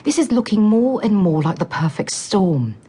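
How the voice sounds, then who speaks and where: A woman's voice: medium pitch, sing-song, normal speed, dark tone, slightly rough. A woman acting in a radio drama as a driven, frustrated infectious disease doctor, speaking insistently and articulately. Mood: urgent, insistent.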